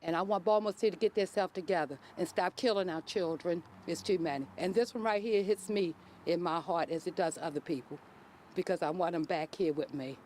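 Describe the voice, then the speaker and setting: A woman's voice: fairly low pitch, a little fast, a little mellow, slight background noise. A grieving woman giving an impassioned, unscripted plea as an interviewee, recorded outdoors.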